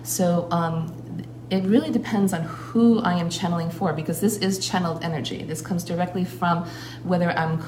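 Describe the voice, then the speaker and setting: A woman with a low voice speaks earnestly and at length as a shamanic priestess. Her words flow and are broken by frequent filler pauses. The recording is roomy, with steady noise clearly audible behind her. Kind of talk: casual spoken account.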